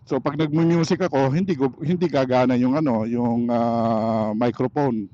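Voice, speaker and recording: A man with a Filipino accent chatting casually in a motorcycle vlog, halting as he searches for words. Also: mid-range voice, highs cut off. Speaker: motorcycle vlogger. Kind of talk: casual vlog chat while riding. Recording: steady vehicle and road noise.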